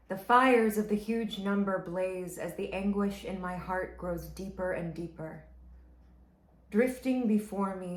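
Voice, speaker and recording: A woman reading a poem aloud in a grave, mournful way, often dropping to a hush at the ends of lines. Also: medium pitch. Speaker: family member reading a poem. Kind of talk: slow, emotional poetry reading. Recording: roomy echo.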